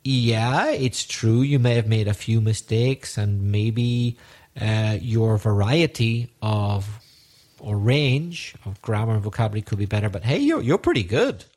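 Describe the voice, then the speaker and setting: A man's voice: lowish voice, animated, measured pace, a little mellow. A man co-hosting an English-learning podcast, chatting in a friendly, encouraging way. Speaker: podcast co-host, English teacher. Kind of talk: teaching podcast chat.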